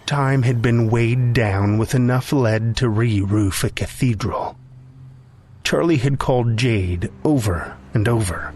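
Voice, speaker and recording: A man's voice: mid-range voice, slow, a little mellow. A man narrating scripted fiction for a podcast as its storyteller. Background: music.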